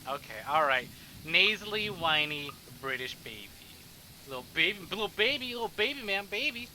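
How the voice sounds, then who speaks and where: A man's voice: high voice, sing-song, moderate pace, bright, forceful tone, a little hiss or hum, poor audio. A man livestreaming a game, chatting casually in slang and swearing freely. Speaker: game streamer and host.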